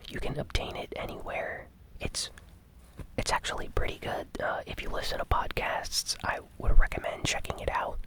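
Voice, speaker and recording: A host whispering a casual gaming news recap in a breathy, conversational ASMR style. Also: very high-pitched.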